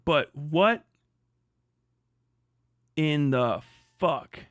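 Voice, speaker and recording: A man's voice: on the high side, lively intonation, extremely slow, no echo, clean studio sound. A young man hosting a casual live stream, reacting with sardonic, profane, incredulous commentary and frequent laughter. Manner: sardonic, swearing, laughing often, incredulous outbursts. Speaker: streamer host.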